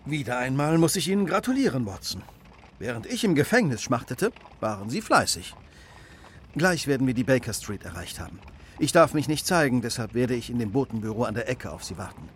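An actor playing an incisive, self-assured detective in a German radio drama, eloquent and commanding, moving between hushed and emphatic delivery. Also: male voice, mid-range voice, sing-song, brisk, slight background noise, natural voice. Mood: brisk, pleased.

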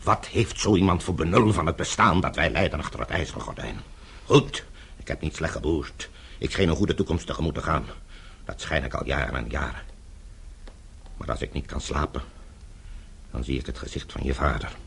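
An actor playing a weary, bitter older politician from behind the Iron Curtain in a radio play, brooding and confessional. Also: male voice, rumbling low, expressive, normal speed, a bit bright, heavily acted. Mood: bitter, anguished. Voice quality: gravelly, husky. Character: weary, bitter older Eastern Bloc politician, guilt-ridden. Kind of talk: radio drama acting.

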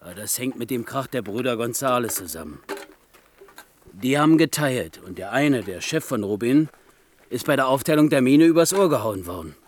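A man's voice: mid-range voice, a little hiss or hum, natural voice. An actor in a radio play voicing a bitter, gossipy laid-off male miner with a colloquial, sardonic way of talking.

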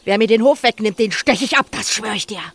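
A woman's voice: medium pitch, fairly quick, gravelly, fairly bright tone, breathy edge. An actress playing a foul-mouthed, defiant woman farmer in a radio play, brusque and threatening. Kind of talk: German radio play acting.

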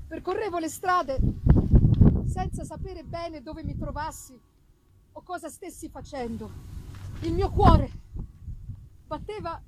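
A woman gives a dramatic, theatrical reading of a Gothic novel, with deliberate phrasing and strong emphasis. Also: high-pitched, very noisy. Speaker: reader performing a literary text.

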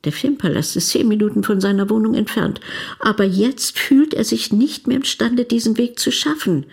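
A woman's voice: on the high side, moderate pace, vocal fry, a little mellow. An actress playing an elderly, caring wife who tells her story in a plain, conversational radio drama monologue. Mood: sad, concerned. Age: older. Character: elderly devoted wife, caring, worried, quietly amused.

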